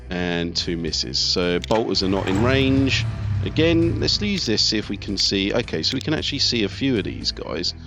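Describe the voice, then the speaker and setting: A man's voice: fairly low pitch, lively intonation, normal speed, gravelly. A man giving casual live commentary on a game, thinking aloud as he talks through his tactics. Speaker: let's play host. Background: video game music, artillery fire and vehicles.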